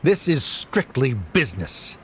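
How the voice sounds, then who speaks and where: A man's voice: medium pitch, very animated, unhurried, soft background music. A male actor playing a cold, condescending middle-aged official and father in a game, speaking in a formal, dismissive, officious manner. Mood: cold, curt. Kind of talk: English game dub voice acting.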